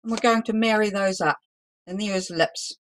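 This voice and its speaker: A woman teaching a live balloon-twisting lesson, talking loudly and chattily with some self-deprecating humor. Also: mid-range voice, fairly even intonation, normal speed, slight background noise, poor audio. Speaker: balloon artist teaching a workshop. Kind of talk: live online demonstration lesson.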